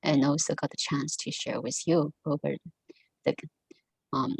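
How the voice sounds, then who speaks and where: Low halting woman, gravelly and husky. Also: level tone, dry recording. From Taiwan. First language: Mandarin. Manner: halting, many ums and restarts. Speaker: Buddhist study group speaker. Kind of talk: online study group talk over video call. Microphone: video call.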